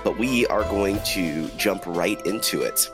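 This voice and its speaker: A man with a cold, running a tabletop game as dungeon master and narrating the scene in a slow, solemn way. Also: low voice, over loud music. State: has a cold.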